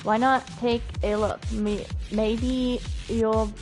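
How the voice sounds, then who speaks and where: Female game streamer, higher register, lively and informal, clear game music underneath. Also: slow pace. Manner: giggly, animated reactions, stumbles when reading aloud. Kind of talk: livestream chat while reading game dialogue aloud. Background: video game music.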